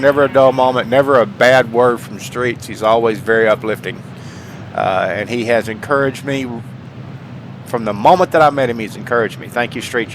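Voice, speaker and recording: A man's voice: mid-range voice, poor audio. Folksy man chatting, with background noise. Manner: folksy, repeats phrases for emphasis; earnest, heartfelt. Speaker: gaming channel host. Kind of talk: casual chat to viewers. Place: indoors at home, paintings behind.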